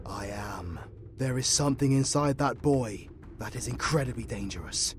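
A woman's voice: low voice, sing-song, normal speed, darkish tone, faint music behind. A woman playing a chained demon hunter in an audio drama, blunt, urgent and colloquial. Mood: urgent, grave. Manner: colloquial, blunt, says ain't and mate.

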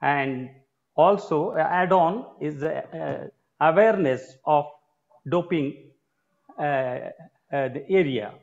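A man in his sixties with an Indian English accent, giving halting keynote remarks full of filler sounds from a room at home. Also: a little high, vocal fry. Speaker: conference advisor and retired sports coach. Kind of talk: keynote remarks at an online conference. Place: home room with shelves of trophies. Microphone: laptop or earphone mic on a video call. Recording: muffled video call audio.

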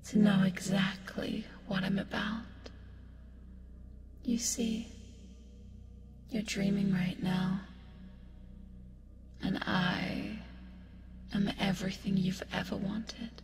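A woman playing a seductive, teasing sleep demon in an ASMR roleplay, breathy and often whispering, creepy yet soothing as she coaxes. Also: mid-range voice, little pitch movement, darkish tone. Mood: coaxing, teasing. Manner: slow, taunting, coaxing with pet names. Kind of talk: ASMR roleplay, hypnotic bedtime delivery. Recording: long reverb, music behind. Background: music.

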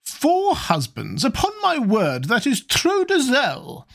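A man's voice: very high-pitched, huge pitch swings, takes it slowly, vocal fry, a bit bright, pitched above the performer's own voice, heavily acted. A man voicing an elderly aristocratic hostess who exclaims and scolds. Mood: incredulous. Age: older.

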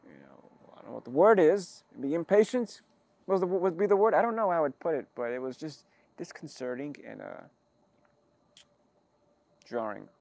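Guy rambling into an audio log, kinda wry, stop and start, lots of vocal fry. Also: a little high, sing-song, darkish tone. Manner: halting, self-interrupting, wry and figurative. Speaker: creator of an audio log channel. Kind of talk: rambling personal monologue.

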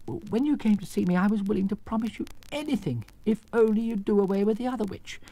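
A man's voice: sits high, fast, warm tone, no room sound, pitched above the performer's own voice, poor audio. A man playing a timid, flustered little old fraud of a wizard in a storybook tale, with a trembling, stammering voice. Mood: confiding, apologetic. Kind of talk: storybook character acting.

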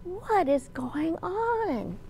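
A woman in her thirties, a children's librarian, telling a picture-book story in an animated, clearly enunciated way. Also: very high voice, drawn-out words, a little hiss or hum. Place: large indoor library room.

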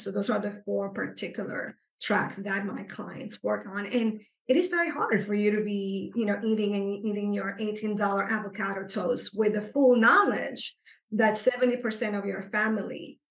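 Woman, gravelly voice, roomy echo, earnest explainer. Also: mid-range voice. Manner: earnest, explanatory, animated when stressing points. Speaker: psychotherapist guest. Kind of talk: conversational interview. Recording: echoey room.

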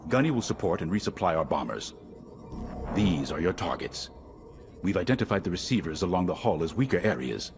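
An actor playing a middle-aged rebel commander in a video game, authoritative, measured and secretive. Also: male voice, deep voice, very animated, noisy background. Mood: commanding. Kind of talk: video game briefing dialogue.

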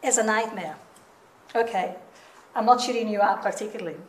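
A woman's voice: medium pitch, fairly quick. A middle-aged woman with a Southern European accent, giving an informal seminar talk in a candid, emphatic manner. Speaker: seminar speaker, researcher. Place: indoor seminar room with seated audience. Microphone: microphone on a stand. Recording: echoey room, some distance from mic.

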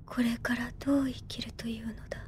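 A woman playing a reserved, troubled young woman who speaks softly in a Japanese anime audio drama. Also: fairly high pitch, little pitch movement, a little fast, slight background noise, close to an everyday voice. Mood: anguished.

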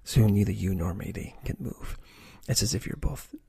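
A man reading an adventure gamebook aloud in a soft, breathy ASMR whisper, stopping to choose pages. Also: lowish voice, lively intonation, normal speed. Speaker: reader of a choose-your-own-adventure book.

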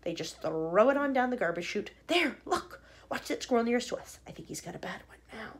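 Woman reading as an eccentric, excitable candy maker. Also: a little high, fairly quick, slightly rough. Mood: animated.

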